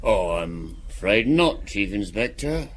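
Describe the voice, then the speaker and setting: Radio drama: country innkeeper, lowish and husky, deferential, unhurried. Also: male voice, big pitch swings, exaggerated voice. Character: deferential village innkeeper, male.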